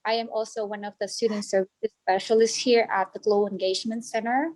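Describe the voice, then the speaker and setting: A woman's voice: mid-range voice, steady tone, darkish tone. A woman working as a student services specialist explains enrollment steps to new students over a video call. She often stops and restarts as she explains. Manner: halting explanations with frequent restarts. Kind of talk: online information session presentation.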